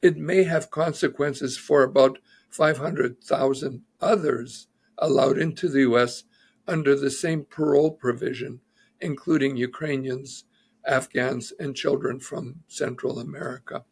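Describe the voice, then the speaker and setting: A man's voice: mid-range voice, fairly even intonation, unhurried, creaky, a little mellow, husky. A man who is an immigration lawyer, methodically explaining legal news in an interview and citing figures and reports.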